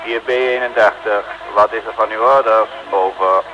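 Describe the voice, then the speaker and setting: An actor playing a dutiful patrol radio operator over two-way radio in an old Dutch radio serial, clipped and businesslike. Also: male voice, lowish voice, fairly even intonation, moderate pace. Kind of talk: 1950s-60s Dutch radio serial acting. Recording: muffled, faintly noisy radio transmission.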